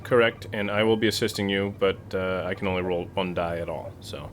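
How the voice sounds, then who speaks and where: A man's voice: low-pitched, steady tone, a little fast, slight background noise. A man playing in a tabletop game, chatting casually and improvising, hesitant as he thinks aloud.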